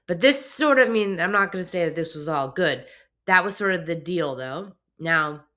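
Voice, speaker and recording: A woman with a low, slightly raspy voice hosts an explainer show. She sounds a bit hoarse from a cold. She talks in a relaxed, conversational way and hedges often with little filler phrases. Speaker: host. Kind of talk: explainer talk to camera. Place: home office.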